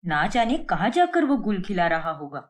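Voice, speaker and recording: A woman's voice: medium pitch, sing-song, moderate pace, close to an everyday voice. A woman voicing a suspicious young wife in a cartoon story, complaining and sarcastic. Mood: indignant, sarcastic. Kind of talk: Hindi cartoon moral-story voice acting.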